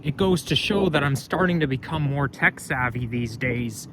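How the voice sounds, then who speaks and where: A young man with a loud voice, rambling and correcting himself as he talks through a casual walking vlog, recorded on a phone camera outdoors. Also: medium pitch, animated, normal speed. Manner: rambling, chatty, self-correcting. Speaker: vlogger. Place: outdoors on a street. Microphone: phone camera held while walking. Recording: outdoor street noise.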